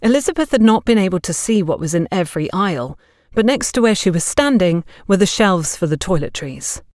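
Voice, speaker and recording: A woman reads a story aloud as an audiobook narrator. Her voice sits slightly low and swings widely in pitch with expressive phrasing. The sound is close and dry. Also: fairly quick, dry recording. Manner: expressive, acts out dialogue.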